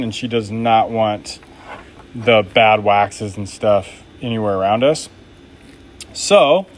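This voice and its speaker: A man making knives during a live stream, chatting casually and reading viewer comments aloud in an upbeat, laid-back way. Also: medium pitch.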